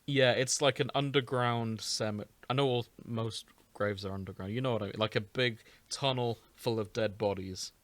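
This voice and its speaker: A man's voice: mid-range voice, steady tone, normal speed, few pauses, a bit bright, dry recording. A British man hosting a podcast in casual, jokey chat with his co-hosts, sometimes with theatrical emphasis. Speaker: podcast host.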